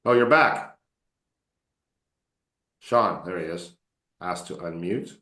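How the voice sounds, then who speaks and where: A man coaching English students in a casual online class, relaxed and encouraging, often joking with them. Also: low voice, slow, echoey room. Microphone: video call.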